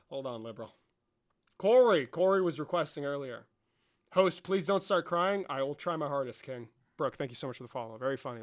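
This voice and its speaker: Male livestream host. Bright, high voice; profane, mocking, volume swings. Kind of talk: casual livestream chat and debate.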